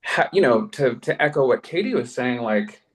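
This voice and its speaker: A man's voice: medium pitch, expressive, moderate pace. Effusive, emphatic man talking on a panel. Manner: effusive, emphatic, thinks aloud with casual slang. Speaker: podcast producer on a panel. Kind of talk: panel discussion, conversational.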